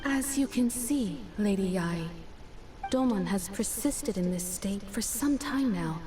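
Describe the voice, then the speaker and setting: An actress playing a deferential shrine attendant in a video game, formal and composed. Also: female voice, mid-range voice, lively intonation, takes it slowly, music in the background. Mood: solemn.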